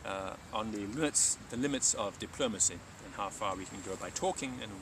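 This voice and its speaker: A man's voice: on the low side, a little fast, far from the mic, noisy background. A man speaking as a teacher hosting a live stream, reading aloud and talking informally.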